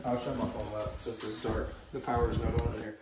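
A man's voice: lowish voice, level tone, fast, echoey room, crowd noise. A young man joking casually during a house tour in an empty home. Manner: joking, playful. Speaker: vlogger. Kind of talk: casual chat. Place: empty new house, indoors.

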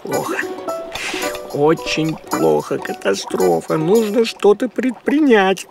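Over clear background music comes a thrifty cartoon tomcat, very high in pitch. He fusses and grumbles excitably, with a lively, swooping delivery. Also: takes it slowly, heavily acted. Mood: worried, grumbling. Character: thrifty, fussy talking male farm cat. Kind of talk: animated cartoon acting. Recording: music behind the voice.

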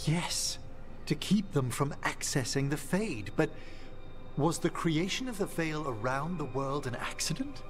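A man's voice: fairly high pitch, expressive, a little slow, music in the background. A man playing a formal, probing scholarly companion in a fantasy game. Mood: inquiring.

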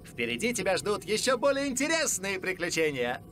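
A woman voicing a cheerful, upbeat youngster in an animated series dub. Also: mid-range voice, a little fast. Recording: music behind.

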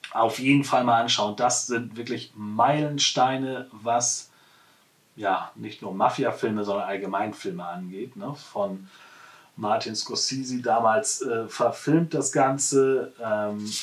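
A man in his forties talks to the camera as the host of a casual shop show. He rambles conversationally and corrects himself as he goes. His voice is picked up with clear room echo, indoors at a short distance from the mic. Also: mid-range voice. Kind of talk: casual product presentation to camera. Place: small, cluttered indoor room, seated at a desk.

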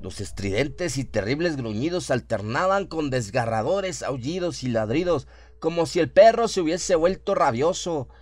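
A man's voice: a little high, animated, moderate pace, a little mellow. A man reading scripted horror fiction as the dramatic narrator of a radio play.

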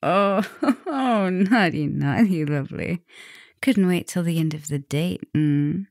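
A soft-spoken, dominant female vampire professor, acted by a woman in an intimate ASMR roleplay. She takes her time, murmuring affectionately and teasingly with a gravelly fry. The sound is close and very dry. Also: fairly low pitch, slow, no echo. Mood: flirtatious, teasing.

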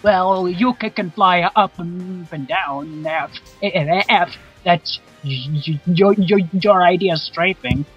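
A man's voice: high-pitched, takes it slowly, few pauses, a bit bright, breathy edge. A man with a stammer that repeats syllables, casually explaining the game he is playing. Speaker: guest player on commentary. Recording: dull lo-fi voice track, music behind. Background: video game music.

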